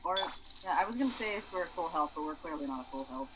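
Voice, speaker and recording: A woman's voice: sits low, animated, fast, very noisy. A woman chatting casually as co-commentator on video game play. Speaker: stream co-commentator. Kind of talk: casual gameplay chat. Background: loud game music.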